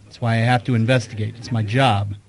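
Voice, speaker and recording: A man's voice: mid-range voice, steady tone, brisk, close to an everyday voice. A man acts out a dogged gumshoe in a radio detective drama. He is casual and dryly witty, with a mid-range voice and a probing way of asking and narrating. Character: wisecracking, dogged male private detective. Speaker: lead actor in an audio mystery drama.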